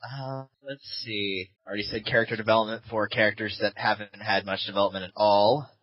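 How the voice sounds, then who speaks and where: A man's voice: lowish voice, fairly even intonation, brisk. A man from Texas chatting casually in a group voice call, rambling with lots of fillers. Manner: rambling, hesitant, many fillers. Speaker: podcast panelist. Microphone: voice call mic. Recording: dull lo-fi call audio, dry.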